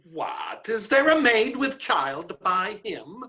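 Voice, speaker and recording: A woman playing a worldly, grumbling brothel madam in a staged Shakespeare reading, with theatrical, drawn-out delivery. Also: medium pitch, very animated, bright, forceful tone, full character voice. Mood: inquisitive.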